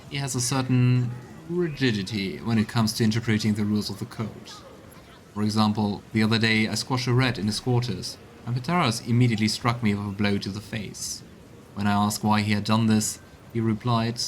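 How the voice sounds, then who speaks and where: Low-voiced man narrating a game stream. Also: a little hiss or hum. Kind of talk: let's play commentary and voiced reading. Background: video game music.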